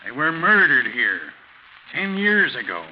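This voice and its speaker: Gruff American police sergeant, muffled radio. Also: male voice, fairly high pitch, very animated, a little slow. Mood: grave, matter-of-fact. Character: gruff, matter-of-fact male police sergeant. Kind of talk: 1940s radio acting. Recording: muffled, hissy 1940s radio recording.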